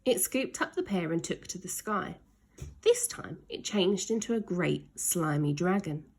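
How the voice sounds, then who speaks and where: A woman narrates a children's storybook expressively. Her pitch moves freely, her pace is slow, and her voice has a creaky grain. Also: animated, a little mellow. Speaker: storyteller reading a children's book.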